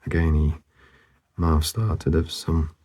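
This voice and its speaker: A man narrating casually as he draws, laid-back and murmuring, with a husky, gravelly voice that often trails off. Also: extremely low pitch, steady tone, takes it slowly, mellow. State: losing his voice, hoarse. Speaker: artist hosting a drawing channel. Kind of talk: casual narration while drawing.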